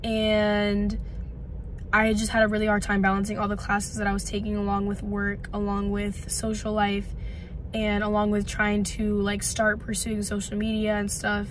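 A woman's voice: mid-range voice, monotone, moderate pace, a little hiss or hum. A woman in her twenties, congested and losing her voice, chatting casually and rambling to a phone camera in a parked car. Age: 20s. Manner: chatty, rambling with many likes. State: congested, voice partly gone. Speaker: vlogger. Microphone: phone camera at arm's length.

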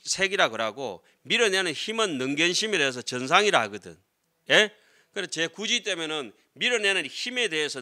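Very bright-voiced middle-aged monk lecturing. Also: male voice, fairly high pitch. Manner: conversational, explanatory, frequent tag questions to listeners. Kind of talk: classroom lecture on Buddhist scripture. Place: lecture room with seated audience, indoors. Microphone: desk gooseneck microphones.

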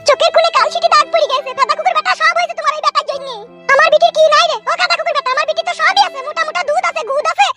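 A shrill, nagging mother from a cartoon, voiced by a performer scolds in a very high, quick voice. Music plays behind her. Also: steady tone, bright, forceful tone. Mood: scolding. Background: background music.

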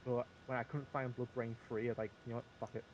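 A man speaks in an average-pitched voice over audible background noise. He talks casually as a podcast panelist, doubling back on his phrases as he works through a point. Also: little pitch movement, darkish tone, dull audio. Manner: halting, repeats and restarts phrases. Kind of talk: casual film discussion.

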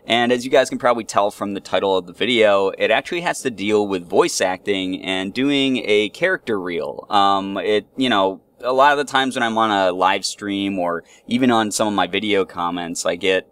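A man's voice: fairly low pitch, moderate pace, few pauses, a bit bright, clear, resonant tone, no echo. A man talking casually as a video host, in a hesitant, self-deprecating manner. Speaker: YouTube host, amateur voice actor. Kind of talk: casual vlog talk.

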